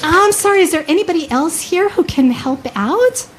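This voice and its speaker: A woman's voice: very high voice, lively intonation, moderate pace, slight background noise. A woman playing a polite, flustered young woman who runs a storytelling on stage. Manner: polite and fussing, many thank-yous. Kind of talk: comedic stage skit.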